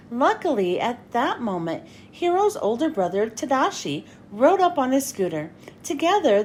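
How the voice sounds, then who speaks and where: A woman reading a children's storybook aloud in an expressive story-time manner. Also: a little high, a little hiss or hum. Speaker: children's read-aloud host. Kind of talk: animated storybook narration.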